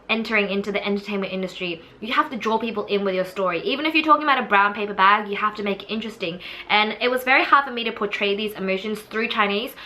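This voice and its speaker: A woman's voice: mid-range voice, sharp tone. A young woman, casually telling the story of her audition to camera in a chatty, candid way, with many fillers. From Australia.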